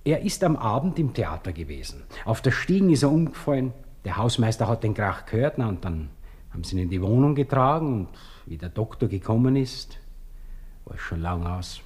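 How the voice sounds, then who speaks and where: An actor playing a deferential, gossipy Viennese coffeehouse waiter with an Austrian accent in a radio drama. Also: male voice, on the low side, sing-song, brisk, darkish tone, slightly rough. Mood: gossipy regret. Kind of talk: 1960s radio drama dialogue.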